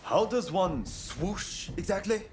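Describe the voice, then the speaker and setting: An actor playing a proud young samurai disciple in a game cutscene, stern, resolute and formal. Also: male voice, sits high, expressive, takes it slowly, noisy background. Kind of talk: dramatic game cutscene acting. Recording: loud music over the voice.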